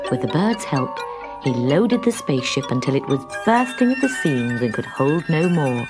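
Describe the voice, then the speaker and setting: A woman narrating a scripted children's story in a dramatic, expressive manner. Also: a bass voice, moderate pace. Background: music.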